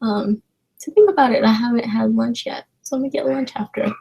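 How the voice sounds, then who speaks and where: A woman's voice: on the high side, fairly even intonation, fairly quick, creaky, mellow, breathy edge. A young woman from China chatting informally as a livestream host, rambling and hesitant as she addresses her viewers. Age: young adult. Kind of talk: casual livestream chat answering viewer questions.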